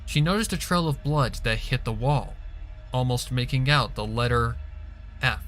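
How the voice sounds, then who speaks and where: A man's voice: medium pitch, normal speed, fairly bright tone. Man narrating a story over music. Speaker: story reader. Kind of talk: scripted fan fiction narration.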